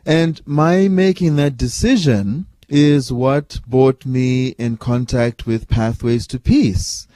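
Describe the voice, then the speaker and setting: A man in his forties speaks in a warm, mellow voice, calm and earnest as he tells his own story. His delivery is measured and reflective. The sound is dry and close. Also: medium pitch, dry recording. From Malawi. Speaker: peace organization vice president. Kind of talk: personal storytelling talk.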